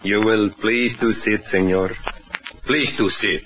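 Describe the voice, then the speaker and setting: An actor playing a calm, amused Mexican hired killer who speaks broken English with a Mexican Spanish accent, in 1940s radio acting. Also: male voice, on the low side, unhurried, lightly acted. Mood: calmly menacing. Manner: broken English, chuckling, matter-of-fact menace. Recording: dull old radio broadcast with faint hiss.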